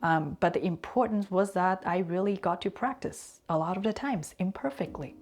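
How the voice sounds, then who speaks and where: Lower-voiced woman, first language chinese, earnest reflective chat to camera, drops in little asides like "right?". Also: a little mellow. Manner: earnest, thoughtful, slows to stress key words; conversational asides and fillers. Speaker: language and culture teacher.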